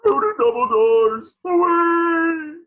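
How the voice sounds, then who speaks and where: A man playing a doddering, bombastic old superhero in a cartoon table reading, with a grandiose, befuddled delivery and a husky voice. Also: extremely high pitch, animated, takes it slowly, narrow, tinny audio, heavily acted.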